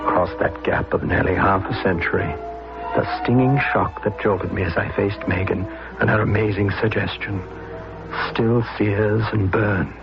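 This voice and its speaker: A man's voice: lowish voice, fairly even intonation, moderate pace, vocal fry. An actor playing an anguished, guilt-ridden man in an old-time radio melodrama, with an intense delivery. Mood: haunted. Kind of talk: old-time radio drama acting and narration. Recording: muffled old radio, music behind.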